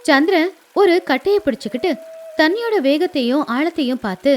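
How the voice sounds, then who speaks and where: A woman narrating a scripted animated moral tale as a storyteller. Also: high voice, fairly quick, a little hiss or hum.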